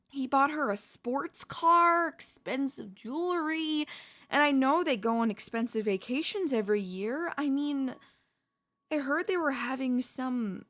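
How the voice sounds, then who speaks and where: Woman voice acting a clingy devoted wife, asmr roleplay style, kinda high voice, really slow n halting. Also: fairly bright tone, full character voice. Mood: shocked disbelief. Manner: slow, deliberate, halting delivery with dramatic pauses. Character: devoted wife, shocked then eerily composed, quietly possessive. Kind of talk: ASMR audio roleplay drama.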